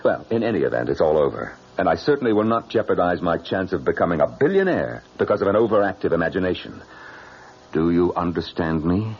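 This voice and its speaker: A husky, low-voiced suave cynic. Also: male voice, sing-song, quick pace, heavily acted. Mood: defensive, insistent. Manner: theatrical, glib, self-justifying. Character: suave, cynical man engaged to marry, uneasily dismissive. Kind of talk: old-time radio drama acting. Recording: dull, muffled radio drama recording.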